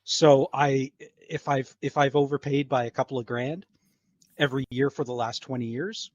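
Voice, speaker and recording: A man's voice: medium pitch, fairly even intonation, moderate pace, no echo, dull audio. A man from Canada chatting casually as a guest on a podcast. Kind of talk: casual chat.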